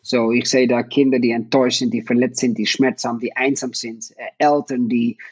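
A man's voice: mid-range voice, level tone, moderate pace, darkish tone, husky, no echo, dull audio. A Dutch man in his fifties, a native Dutch speaker, talking casually with a Dutch accent, hesitant and full of fillers and restarts. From Netherlands. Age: 50s. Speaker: guest, parent of athletes. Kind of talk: casual conversation.

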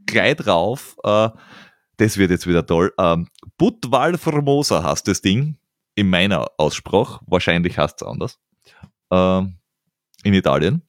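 Stammering man, lively low voice. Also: unhurried, no room sound, clean studio sound. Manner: stammering restarts, lively and laughing. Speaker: podcast host. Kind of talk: casual interview chat.

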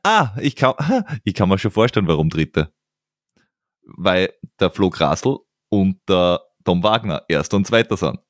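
A man's voice: low-pitched, big pitch swings, a little slow, no room sound, clean studio sound. A man hosting a podcast, chatting casually with many stammered restarts and laughs. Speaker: podcast host. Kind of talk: casual interview chat.

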